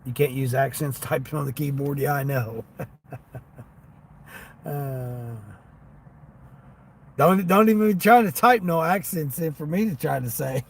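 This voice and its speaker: A man's voice: a little high, slow pace, gravelly, pauses often. A man with a slightly Southern American accent chats casually and ramblingly to livestream viewers in a folksy way. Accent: American with a Southern tinge. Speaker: livestream host, gig delivery driver. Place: inside a parked vehicle. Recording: steady vehicle and ambient noise.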